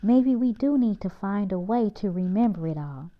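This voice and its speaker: A woman's voice: mid-range voice, animated, moderate pace, dull audio, close to an everyday voice. A woman reading the part of a patient, knowledgeable father who explains things to his children in a storybook. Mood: patient, explaining.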